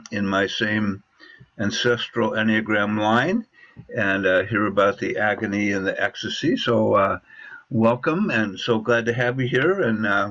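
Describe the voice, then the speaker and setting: A man's voice: on the low side, a bit bright. A man hosting an interview over a call, speaking hesitantly with many "uh" fillers. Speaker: interview host. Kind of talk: conversational interview. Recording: muffled lo-fi audio.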